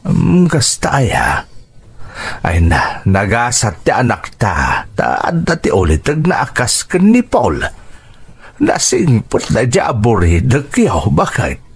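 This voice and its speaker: This is a proud, talkative father in an Ilocano radio drama. He sounds husky and takes his time, with an expressive, questioning lilt that rises and falls widely. His accent is Ilocano Filipino. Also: medium pitch, takes it slowly, full character voice. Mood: curious, pleased.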